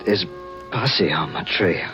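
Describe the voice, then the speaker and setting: A man playing a wounded, boastful outlaw in a 1950s radio western, breathy and halting, with a rural American drawl. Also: deep voice, animated. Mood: regretful, weary. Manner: halting, laboured, folksy drawl. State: weak, gravely wounded, acted. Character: dying, boastful male outlaw, stubborn and desperate. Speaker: supporting actor in a radio western. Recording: dull vintage radio recording, music behind.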